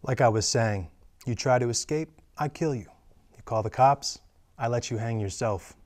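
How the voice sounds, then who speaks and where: A man's voice: mid-range voice, normal speed, a little mellow, close to an everyday voice. A man voicing a menacing male killer who taunts his victim over the phone, sneering and cruel, in a script table reading. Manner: taunting, sneering, drops to a whisper. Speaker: table read actor.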